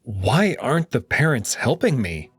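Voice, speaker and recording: A man narrating a visual novel in the first person, with dramatic, expressive storytelling. His pitch sits mid-range but moves in lively swings. Clear music runs underneath the reading. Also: unhurried.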